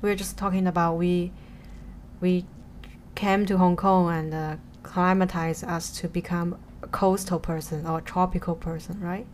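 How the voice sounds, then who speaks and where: Woman, co-host, lowish voice, playful, asks a lot of questions, faint ambience. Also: flat delivery, normal speed, darkish tone, a little hiss or hum. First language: Chinese. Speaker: podcast co-host. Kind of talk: casual chat.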